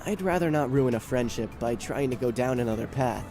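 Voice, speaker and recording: A man's voice: mid-range voice, brisk, music in the background, lightly acted. An actor playing an easygoing, oblivious young male student in a visual novel game, mild and casual.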